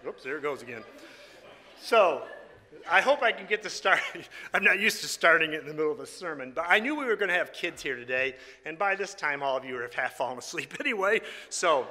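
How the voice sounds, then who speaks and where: Bright, high-voiced male preacher in echoing hall. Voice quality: slightly raspy. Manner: folksy, teasing, joking with the congregation. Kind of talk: informal sermon with congregational singing. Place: large reverberant room before a congregation. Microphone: microphone on a stand or PA. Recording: echoey hall with long reverb.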